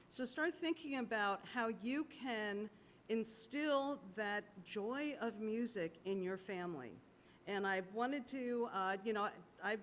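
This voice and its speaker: A woman whose first language was not English, giving an unscripted thank-you speech in church that halts often. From United States. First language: Cantonese or Malay family language. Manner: halting, with many fillers and restarts. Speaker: church donor and board host. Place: large sanctuary. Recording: long hall reverb with faint rumble.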